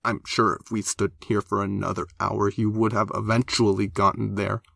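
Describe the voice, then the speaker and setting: A man's voice: fairly low pitch, level tone, normal speed, gravelly, a bit bright, dry recording, exaggerated voice. A man reading a story, voicing a blunt, sarcastic young man with a dry delivery. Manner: dry, cutting.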